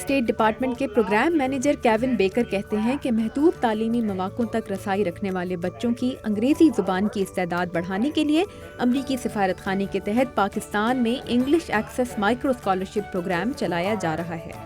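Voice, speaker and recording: A woman narrating a news report in a formal, measured way. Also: medium pitch. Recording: voice-over with music bed.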